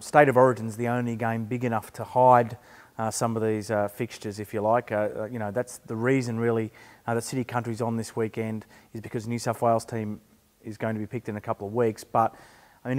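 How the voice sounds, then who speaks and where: A middle-aged sports journalist talks rapidly, stacking hesitant restarts as he works through his points. His analysis is quick and detailed. The roomy studio adds a light echo to his voice. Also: medium pitch, a little mellow. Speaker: journalist panelist. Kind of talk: casual sports chat. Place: studio couch.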